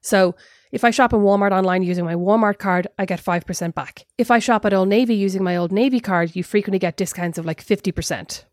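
A woman's voice: medium pitch, fast, hardly any pauses, darkish tone, dry recording, studio quality. An Irish woman co-hosting a podcast, chatting in a rambling, self-mocking way. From Ireland. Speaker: podcast co-host. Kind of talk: casual chat.

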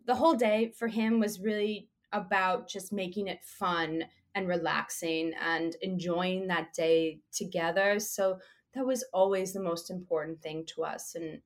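A woman speaking as an interview guest, relaxed and chatty, with a hoarse voice. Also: on the low side. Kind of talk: casual interview chat.

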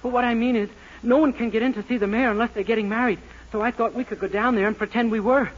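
An American man playing an earnest, naive, excitable young man in a 1940s radio sitcom, breathless and pleading. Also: very high-pitched, fast. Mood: flustered, earnest. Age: young adult. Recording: noisy muffled 1940s radio recording.

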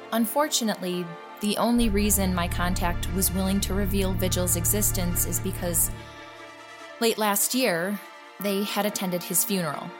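A woman playing an earnest, intrigued journalist hosting an investigative audio-drama podcast, speaking deliberately. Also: mid-range voice, close to an everyday voice. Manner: earnest, deliberate, with rhetorical questions. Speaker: podcast host. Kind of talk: scripted investigative podcast narration.

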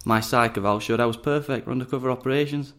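A man's voice: medium pitch, fairly even intonation, fast, a bit bright, close to an everyday voice. A man playing a smug young undercover agent, a coolly calculating psychopath with a detached, condescending manner, in an audio drama. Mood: cold, matter-of-fact. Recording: music underneath.

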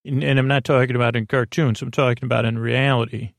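Creaky-voiced man, rambling and soothing. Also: mid-range voice, flat delivery, no room sound, studio quality. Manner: meandering, halting, trails off into tangents; soothing, lulling. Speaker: podcast host. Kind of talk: rambling, improvised bedtime monologue.

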